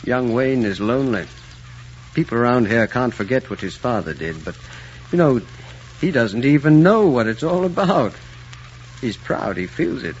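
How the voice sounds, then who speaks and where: An actor playing a kindly older family friend, reasoning and gently persuasive, in an old film drama. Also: male voice, medium pitch, animated, normal speed, long pauses, lightly acted. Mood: reasoning, concerned. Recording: muffled, noisy old film soundtrack.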